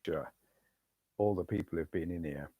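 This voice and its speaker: A middle-aged man with a hoarse voice, chatting casually to viewers on a retro gaming live stream and reading out their names. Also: deep voice, normal speed, warm tone, dry recording. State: hoarse, losing his voice.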